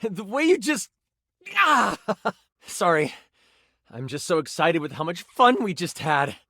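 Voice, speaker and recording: An earnest, nerdy game master in a video game. The voice is fairly high and lively, theatrical in delivery, with a dry, close sound. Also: male voice, unhurried, dry recording, full character voice. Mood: excited, shy. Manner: theatrical, earnest, awkwardly gushing. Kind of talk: dramatic tabletop game narration and chat.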